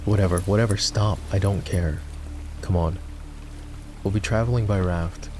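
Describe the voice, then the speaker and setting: A male voice actor playing a gruff, sardonic fantasy knight in a roleplay ASMR, with a teasing, commanding manner. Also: low-pitched, steady tone, normal speed, music in the background, natural voice. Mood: mocking, impatient.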